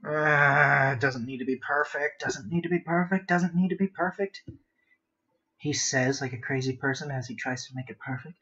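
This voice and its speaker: A man chatting casually and rambling half to himself as he hosts a live drawing stream, with a husky voice. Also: on the high side, normal speed, pushed and bright, highs cut off. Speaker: art livestream host. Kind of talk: casual chat while sketching.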